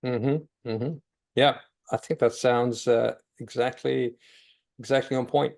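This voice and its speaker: Gravelly, halting male webinar host. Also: mid-range voice, steady tone, darkish tone. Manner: halting, filler-heavy questioning.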